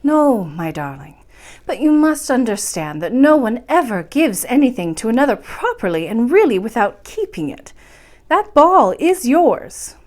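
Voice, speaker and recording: A woman reader plays a kindly magical grandmother from a storybook. She is serene and patient, gently instructive, with a lively rise and fall in her speech. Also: on the high side, a little slow. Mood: tender. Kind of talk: storybook character voice in a read-aloud.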